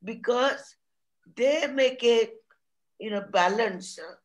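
A woman from Odisha with an Indian accent, explaining her topic earnestly on a video call at an academic conference, with frequent pauses mid-sentence. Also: on the high side. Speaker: conference presenter.

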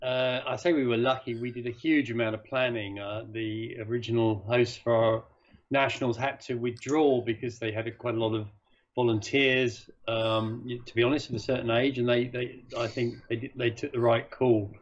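A man's voice: mid-range voice, fairly even intonation, moderate pace. Guy chairing a sailing club, lots of ums and restarts, muffled call audio. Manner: hesitant, many restarts. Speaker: sailing class chairman, guest. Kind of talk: casual video-call interview. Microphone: video call. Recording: dull video-call audio.

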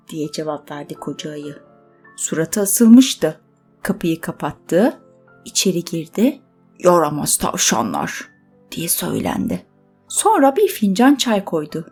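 A woman narrating a scripted children's bedtime story expressively, with dramatic pauses. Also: fairly low pitch, a little mellow, husky.

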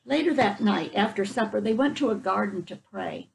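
A woman's voice: mid-range voice, lively intonation, moderate pace, vocal fry, slight background noise, rough recording. An older woman reading a picture book aloud to children outdoors, explaining it in simple words as she goes. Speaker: children's story reader. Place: outdoors on a front porch. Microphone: camera a few feet away.

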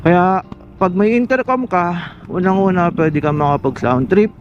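A Filipino man with a fairly high-set voice talks in a casual, conversational explainer style, addressing the viewer directly. The sound is a bit muffled and lo-fi, with music faintly playing underneath. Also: measured pace. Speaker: motovlogger.

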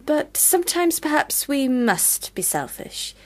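A woman's voice: high-pitched, big pitch swings, measured pace. A woman playing a commanding, teasing eldest sister in a period radio drama, speaking in a formal, theatrical way. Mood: mock-formal.

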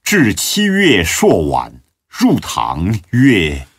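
Male narrator, solemn classical recitation: low, rough-edged, highly inflected, close dry sound. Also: huge pitch swings, no room sound. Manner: measured, dramatic recitation. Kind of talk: solemn scripted narration of classical religious biography.

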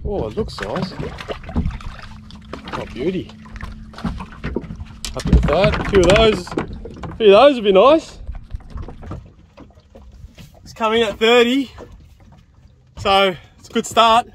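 High-pitched young Australian man, noisy outdoor recording. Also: lively intonation, slow pace, long pauses. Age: young adult. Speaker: fishing vlogger. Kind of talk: casual vlog commentary to viewers. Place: outdoors on a small open boat at sea.

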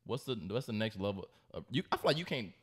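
A young man with an American accent and a low voice hosts a casual podcast. He argues insistently, repeating his points as he debates with friends, close on the mic. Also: lively intonation, quick pace, slightly rough. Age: young adult. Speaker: podcast host. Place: living room, on a couch. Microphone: podcast microphone on a stand, close.

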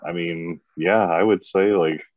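A man's voice: low-pitched, fairly even intonation, unhurried, dry recording, dull audio. A man in his forties, a tattoo artist guest, talking in a rambling way with many fillers over a video call. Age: 40s. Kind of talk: casual interview chat. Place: indoor room. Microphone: webcam on video call.

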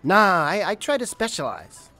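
A woman's voice: medium pitch, big pitch swings, normal speed, pushed and bright, soft background music. A woman playing a breezy, streetwise businessman in a 1920s-style radio drama, slangy and wisecracking, friendly but guarded. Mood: cagey, joking.

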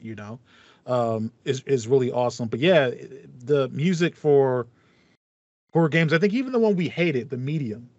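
A man's voice: mid-range voice, no room sound. A man in his thirties hosting a podcast in casual chat, thinking aloud and repeating phrases as he goes. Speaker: podcast host. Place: small home room with foam panels, indoors. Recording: dull, lo-fi voice call sound.

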